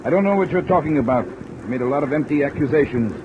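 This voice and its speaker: An actor playing a hostile company boss in old radio drama style, barking and contemptuous. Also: male voice, a little high, lively intonation, fast, muffled recording, close to an everyday voice. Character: hostile, domineering male company boss and crook. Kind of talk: 1950s American radio drama acting. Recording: old radio recording, heavy rain noise.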